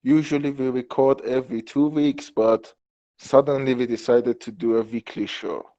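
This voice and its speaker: A man's voice: mid-range voice, steady tone, moderate pace, mellow. A man hosting a casual podcast in a wry, teasing way. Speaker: podcast host. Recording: slightly dull, lo-fi audio.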